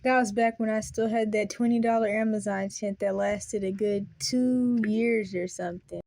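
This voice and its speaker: Woman vlogger, hushed and even-toned, casual rambling storyteller. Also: medium pitch, moderate pace, background noise. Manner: rambling, self-correcting, quiet and hushed at times.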